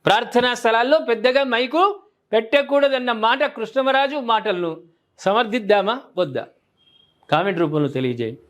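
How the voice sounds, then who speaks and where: A male news presenter with a strikingly high-pitched voice and a Telangana Telugu accent. He gives opinionated commentary straight to his viewers. His delivery is colloquial and emphatic, leaning on rhetorical questions. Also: expressive, moderate pace, fairly bright tone.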